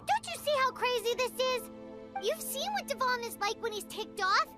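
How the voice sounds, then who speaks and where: A woman's voice: very high voice, animated, normal speed, over music. A woman voicing a small, childlike fairy companion in a video game, blunt, teasing and outspoken. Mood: indignant. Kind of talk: animated video game voice acting.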